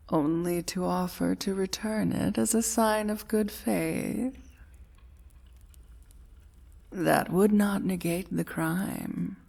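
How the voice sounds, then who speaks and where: A woman playing a domineering, dangerous dragon queen in a soft-spoken fantasy ASMR roleplay, breathy and often whispering, with formal, imperious diction. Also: medium pitch, full character voice. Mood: suspicious.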